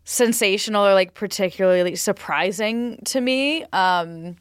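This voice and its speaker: Woman, medium pitch, dry close recording; funny conversational panelist who acts out quotes. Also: a little slow, clear, resonant tone, no echo. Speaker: podcast panelist. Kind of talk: casual comedic chat.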